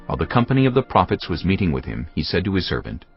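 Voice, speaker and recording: A man's voice: sits low, big pitch swings, rapid-fire. A man narrating scripture as a solemn, scripted dramatic story. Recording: muffled audio with music behind.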